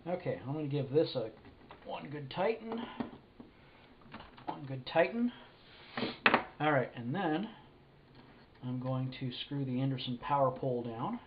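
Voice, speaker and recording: A man with a cold, sniffly, talking through an electronics assembly at his workbench in a halting, thinking-aloud way. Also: mid-range voice, lively intonation. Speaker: hobbyist electronics builder. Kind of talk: casual hands-on assembly walkthrough. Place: indoor workshop bench. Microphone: camera mic above workbench. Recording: dull lo-fi camera audio with faint hiss.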